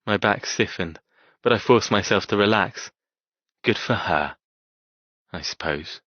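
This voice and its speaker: A man narrating a dark romance audiobook in the first person as a cold, brooding, tormented man, terse and intense. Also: lowish voice, measured pace, dry recording, lo-fi sound. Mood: cold, guarded.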